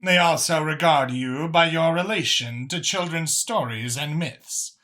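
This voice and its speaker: A man voicing a confident, formal female alien official in a science fiction audiobook, speaking in a deliberate, emphatic way. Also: on the high side, sharp tone. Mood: formal, assured. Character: imperious female alien official, confident, formal, free-thinking council chair.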